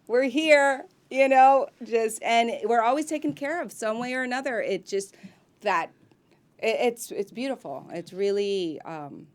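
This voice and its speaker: A woman's voice: on the high side, lively intonation, unhurried. Effusive woman chatting with many ums. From grew up in Miami, United States. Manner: warm, effusive and talkative, with frequent ums and restarts. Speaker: actress guest. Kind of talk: casual interview chat.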